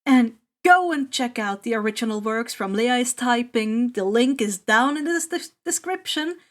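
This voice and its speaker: Higher-voiced woman reading a story aloud. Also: expressive, moderate pace. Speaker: podfic reader. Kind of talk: narrating fan fiction aloud.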